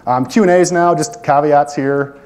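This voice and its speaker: A man's voice: a little high, moderate pace, a little mellow, a little hiss or hum. A man presenting a technical project at a conference and taking questions, talking casually with many fillers and self-deprecating asides. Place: conference room.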